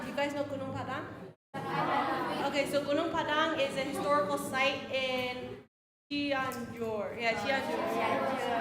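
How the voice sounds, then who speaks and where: High-voiced young woman in an echoey hall. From United States. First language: Korean. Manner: chatty, full of fillers and restarts. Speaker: guest speaker. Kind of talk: casual presentation to students. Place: large school hall. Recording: echoey hall with audience noise.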